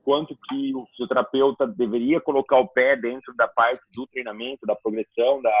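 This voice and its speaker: A man hosting a casual discussion, thinking out loud with frequent pauses and restarts. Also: mid-range voice, slightly rough, dry recording. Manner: hesitant, searching for words. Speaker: host, trainer. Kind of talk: casual video-call discussion. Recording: tinny phone-like call audio.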